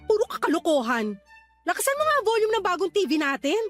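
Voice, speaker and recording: A woman's voice: very high voice, very animated, quick pace. A woman playing a boastful, envious Filipino mother in a radio drama, with an exaggerated, swooping delivery. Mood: bossy, petty. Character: boastful, envious Filipino mother, one-upping her neighbor. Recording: light background music under dialogue.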